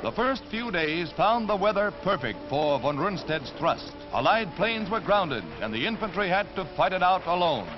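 A man's voice: high-pitched, sing-song, moderate pace, few pauses, loud music behind the voice. An American man reading scripted 1940s newsreel narration in a dramatic, formal announcer style. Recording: dull 1945 newsreel film soundtrack.

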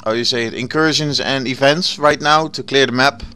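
A Dutch man with a Dutch accent, a native Dutch speaker, giving relaxed, chatty commentary over gameplay and sometimes searching for words. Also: mid-range voice, moderate pace, clean tone. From Netherlands. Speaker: gaming channel host.

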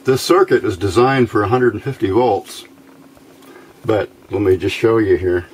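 A man giving a casual hands-on demonstration of homemade gear in an unhurried, halting, explanatory way. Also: on the low side, breathy edge, poor audio. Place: small indoor workbench by a window. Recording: faint background noise.

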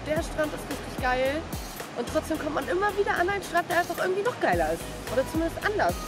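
Young woman vlogging outdoors, a bit sniffly. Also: high voice. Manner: enthusiastic, casual slang. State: has a slight cold. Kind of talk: casual travel vlog chat. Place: outdoors on the coast.

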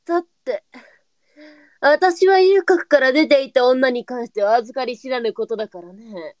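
A woman's voice: high-pitched, normal speed, dry recording, clean studio sound, close to an everyday voice. A woman playing a pragmatic, brusque brothel mistress in a live period voice drama, with a blunt and knowing way of talking. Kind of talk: online live voice drama acting.

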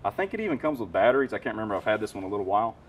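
A man's voice: lowish voice, lively intonation, rapid-fire, recorded from a distance, a little hiss or hum. A man in his thirties, an HVAC technician, explaining tools in casual, enthusiastic shop talk with lots of fillers. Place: warehouse parts room with shelves.

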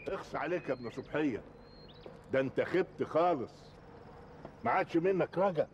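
An actor playing a haughty rich landowner with an Egyptian Arabic accent, giving contemptuous orders and threats. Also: male voice, fairly high pitch, expressive, brisk, lo-fi sound, slight background noise, natural voice. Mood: imperious. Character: haughty rich landowner man, harsh and domineering. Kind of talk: TV drama acting.

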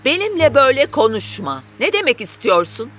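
A woman playing a cruel, scolding stepmother with a fierce temper in an animated fairy tale. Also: sits high, brisk, soft background music. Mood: angry, accusing. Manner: scolding, accusing.

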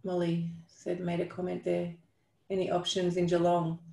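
A middle-aged woman with a gravelly creak in her slightly low voice takes questions on a video call. She talks informally and haltingly, and the room around her sounds echoey. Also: completely flat delivery, warm tone. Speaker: clinician leading a question session. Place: room with brick wall, indoors. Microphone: video call on a computer.

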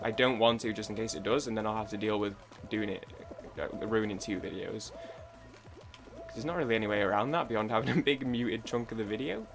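A man's voice: lowish voice, brisk. Rambling young man commenting on a game. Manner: rambling, self-interrupting, hums and sings along. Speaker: gaming YouTuber. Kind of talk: casual gameplay commentary. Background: game music.

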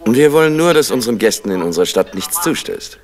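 An actor playing a wry, affable police detective with a dry, casually probing manner in a German radio play. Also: male voice, mid-range voice, sing-song, fast talker, lightly acted. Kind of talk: German crime radio play acting, circa 1970.

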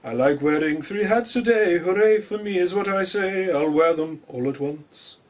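A man voicing a polite, cheerful, eccentric storybook gentleman in a courteous, jaunty, emphatic manner. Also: high-pitched, normal speed. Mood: jaunty, singsong.

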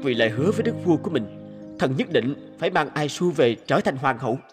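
A man's voice: a little high, animated, fairly quick, over music, lightly acted. A man playing a brave, dutiful young knight in a cartoon fairy tale, speaking formally and earnestly. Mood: dutiful resolve.

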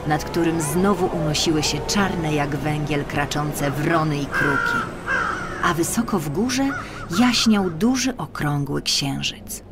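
Low-pitched woman narrating a children's tale. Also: slow pace. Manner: expressive, careful storytelling. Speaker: audiobook narrator. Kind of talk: scripted storybook narration for children.

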